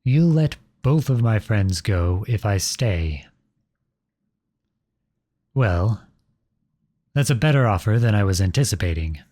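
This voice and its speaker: A man playing a protective, noble outlaw prince in an earnest, dramatic ASMR audio roleplay, with a soft, husky voice close to the mic. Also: on the low side, lively intonation, slow pace, long pauses, no room sound. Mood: defiant, bitter. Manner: earnest, dramatic, addresses unseen listeners directly. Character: outlawed young prince, protective, noble, devoted to his beloved. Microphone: close studio-style microphone, dry.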